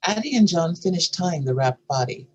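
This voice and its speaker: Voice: medium pitch, lively intonation, normal speed, darkish tone, dull audio. A narrator reading script stage directions in a scripted narration style. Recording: faint background noise.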